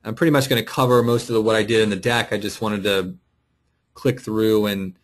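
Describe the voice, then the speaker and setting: Tutorial presenter: male with a fairly low voice, informal explanatory delivery, talking through a screen share step by step. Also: steady tone, a little fast. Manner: explanatory, thinking aloud while clicking through. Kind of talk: casual screen-share walkthrough, unscripted. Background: voice-over for a screen recording.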